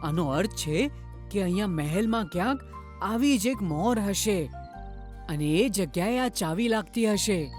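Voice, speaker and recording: A woman's voice: mid-range voice, animated, a little slow, darkish tone, soft background music, lightly acted. A woman voicing a clever, observant child in a children's cartoon story, eagerly explaining things to friends.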